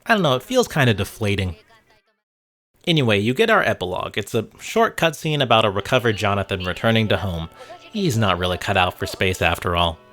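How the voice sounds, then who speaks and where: A man's voice: lowish voice, animated, a little fast, a bit bright. A man narrating a casual video game essay with wry, sarcastic commentary and casual swearing. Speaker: host of a video game review channel.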